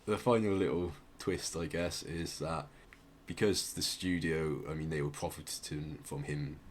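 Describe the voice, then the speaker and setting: A man's voice: very deep voice, steady tone, a little slow, slight background noise. A man co-hosting a podcast, chatting casually and explaining things hesitantly, often circling back to rephrase. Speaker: podcast co-host. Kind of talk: casual podcast chat, explaining a musician's story.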